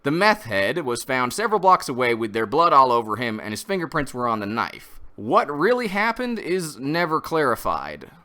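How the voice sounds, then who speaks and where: A man's voice: mid-range voice, big pitch swings, normal speed, few pauses, pushed and bright. A man reading a scripted review in an emphatic, opinionated way, as the host. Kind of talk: scripted comic review narration.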